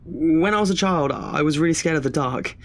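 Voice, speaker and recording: A man's voice: fairly high pitch, fairly quick, natural voice. Actor doing nervy young student guy, hesitant n chatty, bit self-deprecating, audio drama. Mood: embarrassed, anxious. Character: nervous, chatty twenty-year-old male student afraid of the dark.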